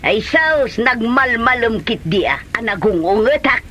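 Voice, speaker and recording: A woman's voice: on the high side, expressive, a little slow, bright, forceful tone, a little hiss or hum. A woman playing a weary, nagging middle-aged mother in a comedy drama, with a Filipino Ilocano accent. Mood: grumbling.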